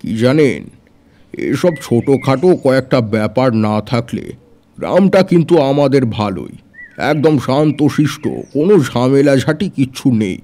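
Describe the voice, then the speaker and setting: An actor playing a wealthy village gentleman who speaks confidingly in an audio drama. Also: medium pitch, lightly acted. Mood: reassuring. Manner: confiding, conversational.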